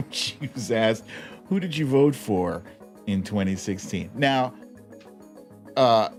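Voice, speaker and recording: Male livestream host, wry and informal news commentary, highly animated intonation, slightly slow delivery, music underneath. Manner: informal, wry, chuckles often while talking. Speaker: live show host. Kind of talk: casual comedic political news commentary livestream.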